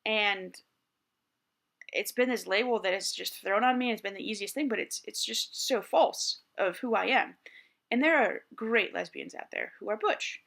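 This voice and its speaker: Candid higher-voiced man thinking aloud. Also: normal speed, creaky, bright, forceful tone. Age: 30s. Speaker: vlogger. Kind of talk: reflective personal monologue.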